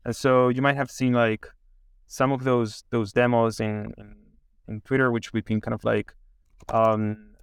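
Guy from chile in his 30s, chill demo talk, tons of ums and likes, smooth clean voice, super dry mic. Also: mid-range voice, little pitch movement, no echo. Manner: halting, many fillers and restarts. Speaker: AI company founder giving a demo. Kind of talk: casual interview.

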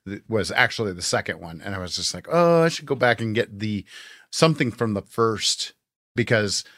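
A man's voice: lowish voice, animated, moderate pace, a bit bright, no room sound, clean studio sound. A man co-hosting a podcast, chatting informally and enthusiastically like a fan. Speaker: podcast co-host. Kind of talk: casual fan discussion.

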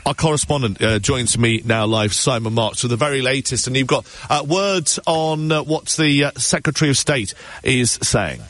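A man's voice: mid-range voice, normal speed, fairly bright tone, dry recording. A man anchoring live radio news and handing over to correspondents.

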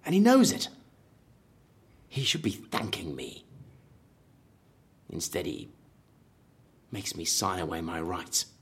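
An actor in an audio drama playing a vengeful, obsessive horror theme park designer, bitter and grandiose, with a husky voice. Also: male voice, low voice, wildly swooping pitch, slow pace, pauses often, exaggerated voice. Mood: bitter brooding. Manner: brooding, bitter, grandiose, prone to outbursts.

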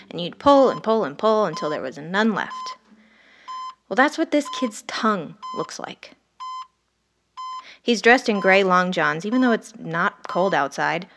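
A woman's voice: mid-range voice, a bit bright, a little hiss or hum. A woman playing an ambitious, detached ER doctor in an audio drama, confessional and intense. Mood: haunted, grim. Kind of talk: first-person dramatic narration and dialogue.

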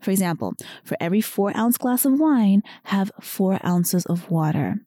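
A woman hosting her own weight loss podcast while losing her voice, talking softer than usual in an encouraging tone. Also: mid-range voice, animated, normal speed, dry recording, clean studio sound. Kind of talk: solo podcast talk.

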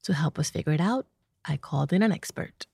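A woman's voice: sits low, lively intonation, a little fast, gravelly, darkish tone, husky. A woman hosting a podcast, asking earnest, reflective questions of her guest. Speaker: podcast host. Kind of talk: podcast interview.

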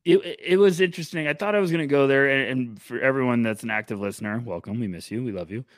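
A man co-hosting a sports podcast, chatting with energy and rambling through restarts. His voice sits at a middle pitch. It is recorded close, with a dry, dead sound. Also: quick pace, no echo. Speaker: podcast co-host. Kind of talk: casual sports podcast chat.

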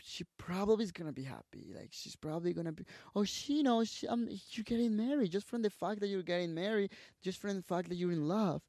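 This is a young man from Mexico who speaks English as a second language, with a clear Mexican Spanish accent. His voice sits fairly high for a man. He tells his story candidly and emotionally, swears freely, keeps saying "bro", and is recorded close and dry. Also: lively intonation, a little fast, hardly any pauses, a little mellow, no echo. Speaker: podcast guest. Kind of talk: casual storytelling interview.

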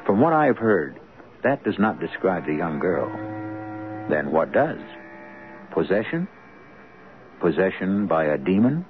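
Very deep, portentous radio storyteller. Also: male voice, slight background noise, heavily acted. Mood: ominous, questioning. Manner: portentous, rhetorical. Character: grave, theatrical male storyteller of the supernatural. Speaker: host and narrator. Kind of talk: scripted radio drama narration. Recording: tinny old radio with loud music.

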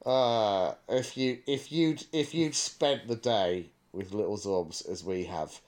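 A man's voice: fairly low pitch, slow, darkish tone. A man co-hosting a podcast in casual banter, with a wry, mock-serious way of talking. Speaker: podcast co-host.

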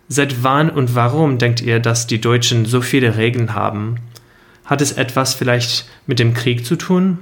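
A man from the United States, a native English speaker, carefully reading out a listener question he recorded in German. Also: mid-range voice. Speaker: listener sending a voice message.

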